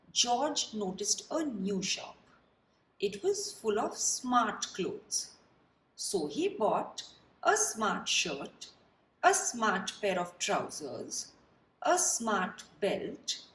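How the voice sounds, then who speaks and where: A woman reading a children's storybook aloud in an expressive, deliberate storytelling manner. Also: medium pitch. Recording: roomy, distant mic, faint background noise.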